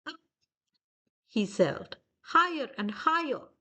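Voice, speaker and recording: A woman reading a children's storybook aloud in an expressive, deliberate narrating style. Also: sits high.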